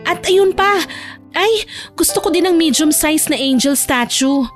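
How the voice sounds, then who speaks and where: Woman acting high-pitched, feisty, snappy young woman. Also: animated, unhurried, faint music behind. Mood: mischievous, eager. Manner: snappy, sarcastic. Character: feisty, sharp-tongued wealthy young woman, easily annoyed. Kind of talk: Tagalog romance audio drama acting.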